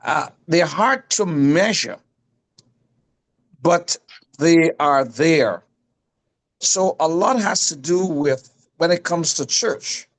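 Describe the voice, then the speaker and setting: A man with a Guyanese accent making emphatic, deliberate panel remarks, repeating his phrasing. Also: on the high side, lively intonation.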